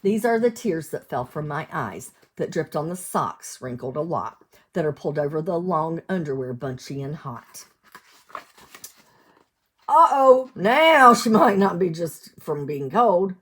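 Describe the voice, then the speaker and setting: An American woman reading a storybook aloud to young children as a teacher would, in an expressive sing-song way, asking them questions. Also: deep voice, measured pace, slightly rough. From United States, lived in West Virginia.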